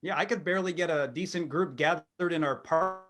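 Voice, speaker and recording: A man's voice: on the high side, brisk, music in the background. A middle-aged man co-hosting a podcast with jokey, casual banter. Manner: jokey, playful. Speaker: podcast co-host.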